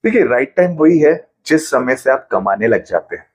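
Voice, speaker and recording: A man's voice: medium pitch, very animated, normal speed, breathy edge. A man, a financial planner patiently explaining money matters with everyday examples in an interview.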